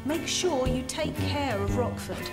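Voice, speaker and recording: A woman playing a caring, gently practical mother in an audio story. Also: sits high, expressive, measured pace. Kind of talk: read-along audio story character acting. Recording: music playing under the voice. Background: music.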